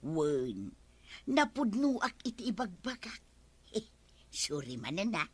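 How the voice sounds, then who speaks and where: Fed up ilocano farm wife, radio comedy, lively swoopy voice, dry studio sound. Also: female voice, medium pitch, takes it slowly, breathy edge, no room sound, exaggerated voice. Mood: earnest. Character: exasperated farmer's wife in a village comedy.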